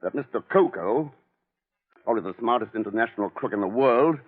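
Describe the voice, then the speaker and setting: A man's voice: on the low side, lively intonation, fairly quick, phone-quality sound. A man playing a determined, frustrated government agent in a 1940s radio mystery serial. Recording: band-limited 1940s radio recording, long reverb.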